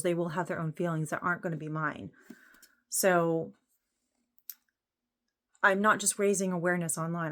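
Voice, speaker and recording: A woman's voice: lowish voice, a bit bright. A woman in her late thirties speaking casually and candidly as a vlogger, with an earnest, informal, rambling manner. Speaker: vlogger introducing own channel. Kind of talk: casual, candid talk to camera.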